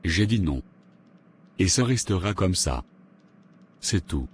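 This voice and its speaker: A man's voice: deep voice, animated, slow, a little hiss or hum. A man playing a stern, stubborn father of a grown daughter in a cartoon, curt and unyielding. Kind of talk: cartoon voice acting.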